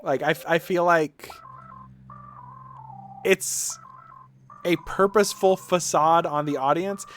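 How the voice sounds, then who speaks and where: A man with a fairly high voice and very lively, swooping intonation, chatting as a game streamer. He rambles informally and offers opinions freely. There is clear noise behind the voice. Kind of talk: casual gaming stream commentary, reading aloud on-screen quotes.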